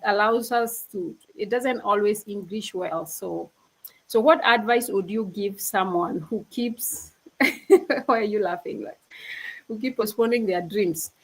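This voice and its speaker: An earnest young woman from Kenya talks casually on a video call, speaking at a medium pitch. She keeps restarting her sentences. She laughs easily as she urges people to act. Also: a little slow, rough recording. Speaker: panel speaker on a livestream. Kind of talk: casual motivational talk.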